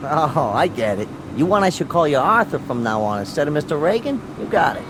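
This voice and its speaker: A man's voice: fairly high pitch, very animated, brisk. An actor playing a puzzled, good-natured male parking lot attendant with a colloquial way of talking in an audio drama. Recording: outdoor scene with traffic noise. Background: street and car ambience.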